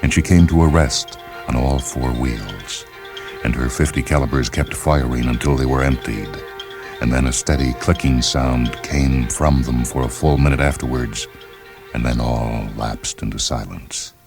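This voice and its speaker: An American man narrating a story for radio in a measured, solemn, dramatic read. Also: very deep voice, fairly even intonation. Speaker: narrator and host. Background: music under the reading.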